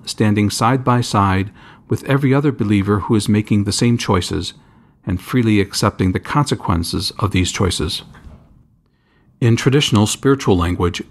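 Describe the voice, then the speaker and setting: Low-voiced man reading a reflective essay. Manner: measured, deliberate, formal. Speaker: priest and scholar reading own essay. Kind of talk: scripted spiritual essay narration.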